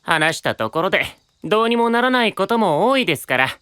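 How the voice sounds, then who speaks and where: A sly, inquisitive young man, courteous on the surface and teasing underneath. His bright voice swoops expressively and sounds close and dry, in anime audio drama style. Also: high voice, big pitch swings, fairly quick, smooth, dry recording, exaggerated voice. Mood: probing, cool. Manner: polite, teasing, insinuating.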